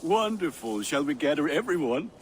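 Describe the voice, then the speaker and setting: A man playing a warm-hearted, sentimental Viking blacksmith in a video game, speaking earnestly with halting tenderness. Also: a little high, very animated, slight background noise. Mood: delighted. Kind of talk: dramatic game dialogue acting.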